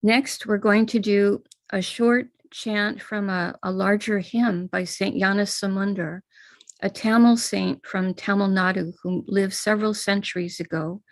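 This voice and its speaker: A middle-aged American woman leads a ritual over a live stream, explaining each step in a calm, gentle way. Her voice has a gravelly fry to it, and the sound is close and dry with no room echo. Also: mid-range voice. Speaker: spiritual teacher leading a ritual.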